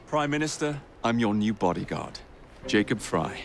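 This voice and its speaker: An actor playing a cocky young assassin, wry and rough-and-ready in a game cutscene. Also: male voice, on the low side, sing-song, normal speed, a little hiss or hum. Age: young adult. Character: cocky young male assassin posing as bodyguard.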